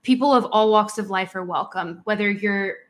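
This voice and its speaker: A woman's voice: medium pitch, normal speed. A middle-aged woman from Colombia whose first language is Spanish, co-hosting a podcast in a friendly, casual chat with frequent ums. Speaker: podcast co-host.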